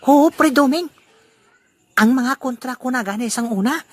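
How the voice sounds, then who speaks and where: A woman's voice: high-pitched, moderate pace, a little mellow, dry recording. A woman playing a boastful, teasing old man in an amateur audio drama, laughing often. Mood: bragging. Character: boastful old man Lolo Albino, jolly rival.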